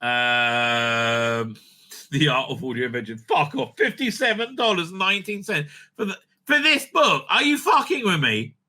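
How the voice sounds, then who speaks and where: A man's voice: a little high, sing-song, normal speed, sharp tone. A man giving casual, unscripted online commentary, sweary, sarcastic and exasperated in manner. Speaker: online commentator reviewing audio drama releases.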